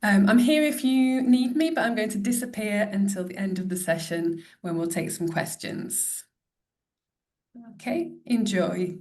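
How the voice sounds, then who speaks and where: A woman's voice: mid-range voice, unhurried, darkish tone. A woman in her thirties hosting an online teaching webinar over a video call, upbeat and apologetic about a late start. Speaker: webinar host.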